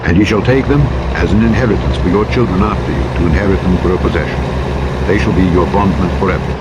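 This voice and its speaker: A man reading scripture as a narrator, in a solemn, measured, declamatory and formal way. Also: low voice. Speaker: Bible narrator. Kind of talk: solemn scripture reading from the King James Bible. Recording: loud vehicle engine noise over audiobook playback. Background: truck simulator engine and road noise.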